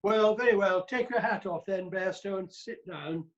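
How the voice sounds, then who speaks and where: A man playing an excitable, easily astonished magistrate in a comic courtroom radio play, exclaiming and stammering. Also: sits high, moderate pace, dull audio, lightly acted. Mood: brisk.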